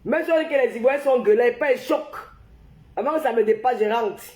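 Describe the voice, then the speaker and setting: A woman's voice: a little high, a little fast, a little mellow, echoey room. A woman with the flu, hosting a casual phone livestream and ranting heatedly and repetitively straight at her viewers. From Cameroon. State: has the flu. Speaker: livestream host. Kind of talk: casual live-stream rant.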